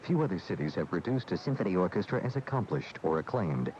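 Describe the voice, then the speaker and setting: Male announcer, low voice, sweeping intonation, formal, scripted appeal, dull radio tape. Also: big pitch swings, fast talker, a little mellow, a little hiss or hum. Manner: polished, formal.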